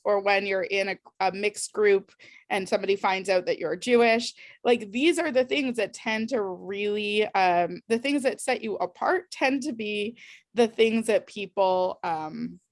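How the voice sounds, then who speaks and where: A woman's voice: mid-range voice, no room sound, studio quality. A woman facilitating a discussion workshop, explaining ideas thoughtfully with frequent ums and restarts.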